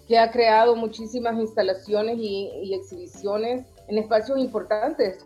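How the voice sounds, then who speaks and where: A woman's voice: medium pitch, darkish tone. A woman from El Salvador, a professor and artist, answering interview questions in a thoughtful, earnest way. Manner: thoughtful, earnest, occasional restarts. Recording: slightly dull lo-fi sound.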